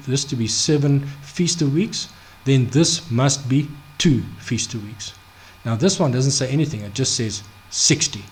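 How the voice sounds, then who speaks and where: A man teaching a Bible study off the cuff, halting, full of restarts, fillers and "okay?" check-ins. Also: medium pitch, a little mellow, a little hiss or hum. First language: not Hebrew. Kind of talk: unscripted screen-share Bible study.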